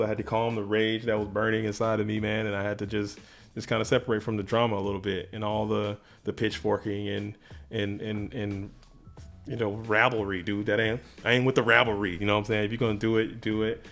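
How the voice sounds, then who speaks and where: A man giving an unscripted personal statement to his online community, circling back over phrases with repeated restarts and informal slang. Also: lowish voice. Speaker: YouTuber making videos and interviews about a card game.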